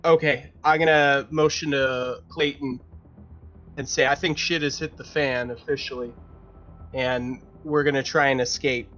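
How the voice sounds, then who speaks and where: A man's voice: medium pitch, steady tone, measured pace, a bit bright. A man chatting casually as a player in a tabletop game, hesitant and questioning. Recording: slightly dull audio, music underneath.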